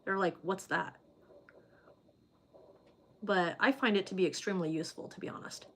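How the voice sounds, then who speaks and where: Chatty woman with a low voice. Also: normal speed, fairly bright tone, husky. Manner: chatty, loose, many fillers like "like" and "um". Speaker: livestream host reading viewer comments. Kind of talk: casual livestream chat.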